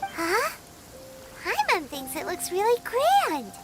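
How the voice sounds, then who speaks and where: Game role: perky little fairy sidekick, piercingly high childlike voice, loud music behind. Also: female voice, huge pitch swings, takes it slowly, full character voice. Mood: curious, amazed. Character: tiny, squeaky, childlike floating fairy companion, curious. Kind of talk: scripted video game dialogue.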